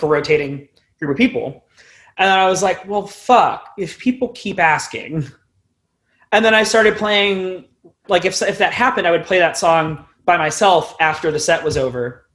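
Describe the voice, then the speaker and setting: A young man with a fairly high voice speaks as a musician guest in an interview. He talks informally and loosely, circling around his thoughts and swearing casually. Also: a bit bright. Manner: rambling, informal, casually profane. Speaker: band frontman, podcast guest.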